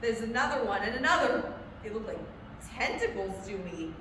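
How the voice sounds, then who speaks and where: An excitable 1930s radio reporter, played by a woman, describes a scene in halting, dramatic bursts. The voice comes from a distance in a very echoey, noisy hall, like a live staged reading. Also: fairly high pitch, close to an everyday voice. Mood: alarmed, awestruck. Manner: breathless, halting, dramatic eyewitness narration. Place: staged reading in a public library. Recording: echoey library hall, far mic, noisy.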